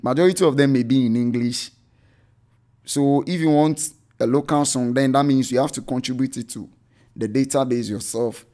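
A man's voice: mid-range voice, normal speed, mellow. A man giving an unscripted screen-share tutorial, often correcting himself with "I mean" and "um" as he explains. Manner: rambling, self-correcting with frequent "I mean" and "um". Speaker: tech channel host reviewing church software.

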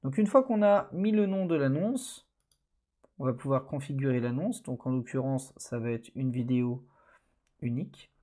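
Man, course instructor, mellow voice, relaxed and halting screen walkthrough. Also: medium pitch. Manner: relaxed, hesitant, many fillers. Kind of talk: screen-recorded tutorial narration.

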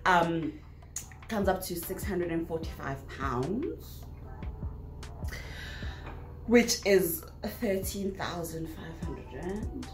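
A young South African woman with a South African accent chatting in a casual Q&A vlog, animated and quick to laugh, filling her talk with "like". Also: lowish voice, roomy sound, music in the background, poor audio. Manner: animated, laughing bursts, lots of "like". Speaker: vlogger. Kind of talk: casual Q&A chat. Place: small bedroom indoors. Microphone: laptop camera at desk distance.

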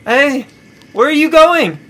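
A man playing a panicked, pleading man in a horror podcast, loud and desperate. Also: very high voice, expressive, slow pace, full character voice. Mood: angry, panicked. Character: panicked, pleading young man trapped in web.

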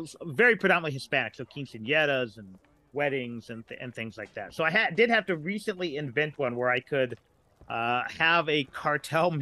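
Bright-voiced man chatting haltingly. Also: mid-range voice. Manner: halting, rambling delivery with repeated words. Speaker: podcast guest, writer. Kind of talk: casual podcast chat.

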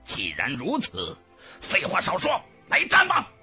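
A man voicing an arrogant, piercingly forceful fighter. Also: high-pitched, huge pitch swings, a little slow, slightly rough, full character voice. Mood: furious battle cry. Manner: boastful, shouting, taunting. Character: arrogant, belligerent male martial-arts fighter in fantasy. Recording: music bed under the voice.

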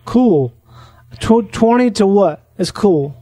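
A man making a deadpan prank phone call, rambling naively and slow to catch on. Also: sits high, no echo. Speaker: prank caller on a comedy podcast.

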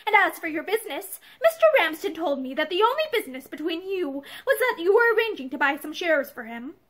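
A proud young wife and mother, as a woman reader brings her to life, emotional and defiant, her high voice rising and falling sharply. She speaks with hurt and stubborn pride. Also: big pitch swings, brisk, fairly bright tone, exaggerated voice. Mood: anguished.

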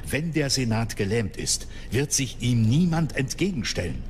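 An actor playing a serious, concerned warrior-monk officer in a sober, measured way for a radio drama. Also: male voice, medium pitch, big pitch swings, a little mellow, slight background noise, natural voice. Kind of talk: German radio drama acting.

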